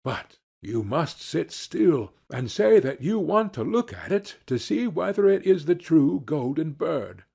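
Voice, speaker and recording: Man voicing a slow, instructing old fox. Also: a little high, sing-song, vocal fry, dark tone, breathy edge, full character voice. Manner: instructing, deliberate. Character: wise old male fox giving earnest counsel.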